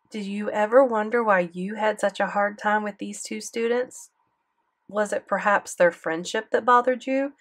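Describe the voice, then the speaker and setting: A woman voicing a kind male middle-school teacher writing gentle, earnest advice to a former student. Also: mid-range voice, natural voice.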